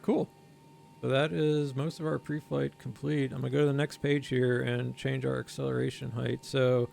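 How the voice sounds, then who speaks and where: A man's voice: medium pitch, vocal fry, a little mellow, slight background noise. A man streaming a flight sim, explaining each step in casual commentary while thinking aloud and reading out numbers carefully. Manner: reads out numbers slowly and carefully.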